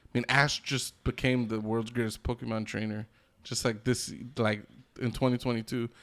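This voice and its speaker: A man's voice: mid-range voice, flat delivery, normal speed, fairly bright tone. A man co-hosting a podcast, chatting casually with enthusiasm, plenty of slang and swearing. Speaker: podcast co-host. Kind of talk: casual group chat about video game movies.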